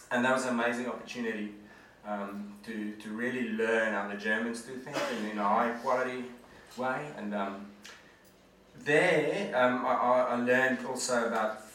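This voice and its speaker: A man's voice: medium pitch, a bit bright, slight background noise. A man in his forties gives an earnest, conversational presentation to an audience as a guest speaker. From South Africa. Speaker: guest speaker, management consultant. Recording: echoey hall from far off.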